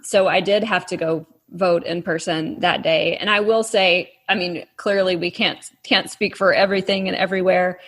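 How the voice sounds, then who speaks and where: A woman's voice: lowish voice, fairly even intonation, normal speed, hardly any pauses, a bit bright. A woman from Texas with a faint Texas accent, an author explaining things patiently to a child in a casual interview. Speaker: author guest.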